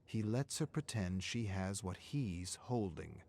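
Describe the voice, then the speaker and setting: Low-voiced man narrating a dark story. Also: expressive, slow.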